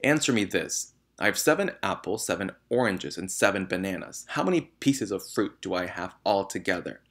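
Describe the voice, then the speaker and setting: Huge bullying headmistress, played by a male reader: scornful, barked orders, loud storybook tyrant. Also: low-pitched, lively intonation, normal speed, exaggerated voice. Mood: commanding. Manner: barking, shouting, scornful.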